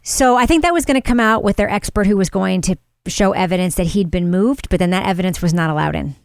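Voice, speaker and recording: A woman hosting a casual true-crime podcast chat, animated and quick to agree, laughing often. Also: medium pitch, gravelly, clean tone, dry recording, studio quality. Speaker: podcast host.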